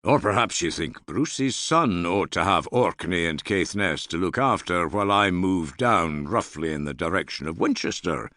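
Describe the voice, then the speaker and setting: A man's voice: sits low, lively intonation, moderate pace, hardly any pauses, pushed and bright, no echo. A man voicing a self-assured Norse earl in an audiobook, with a dry, amiable irony. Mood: dryly ironic. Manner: dry, amiable, gravely ironic. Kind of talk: audiobook character dialogue.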